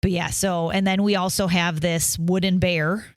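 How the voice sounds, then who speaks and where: A woman's voice: fairly low pitch, steady tone, normal speed, dry recording, clean studio sound. A woman telling candid stories in a casual podcast chat as a guest band mom. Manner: chatty, candid storytelling.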